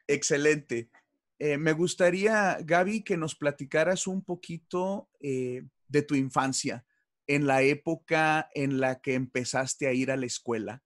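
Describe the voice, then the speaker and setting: A man's voice: fairly high pitch, measured pace, no echo. Mexican man hosting an interview, dry sound. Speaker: interview host. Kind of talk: conversational science interview.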